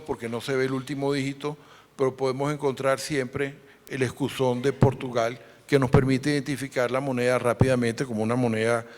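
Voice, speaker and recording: A man presenting a formal, explanatory conference lecture as interpreter, with hesitant pauses. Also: medium pitch. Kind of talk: conference lecture on numismatics, relayed for another speaker. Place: large conference hall. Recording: echoey hall with long reverb.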